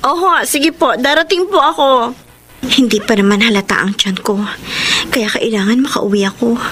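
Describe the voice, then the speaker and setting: Woman acting high-voiced troubled young Filipina, radio drama. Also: normal speed, noisy background, lightly acted. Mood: nervous, evasive. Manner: dramatic, hesitant, emotional. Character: troubled young pregnant woman, anguished and reluctant.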